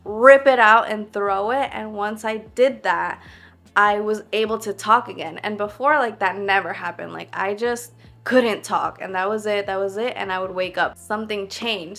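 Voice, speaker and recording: A woman's voice: mid-range voice, fairly bright tone. A young woman vlogging to camera in a casual, reflective way, earnest and full of "like" fillers. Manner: earnest, heavy use of "like" fillers.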